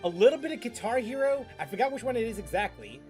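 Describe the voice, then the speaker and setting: Animated high-voiced man, halting gamer chat. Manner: halting, repeats phrases for emphasis. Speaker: gaming streamer. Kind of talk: casual, rambling gameplay chat. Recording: game music behind.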